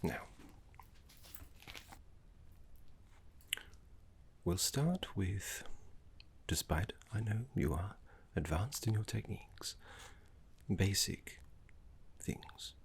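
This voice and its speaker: A man voicing a gentle, fussy, scholarly older necromancy teacher in a soft ASMR roleplay, breathy and half-whispered, with polite, hesitant phrasing. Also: deep voice, animated, heavily acted. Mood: patient, instructive. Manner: polite, hesitant, slow and deliberate.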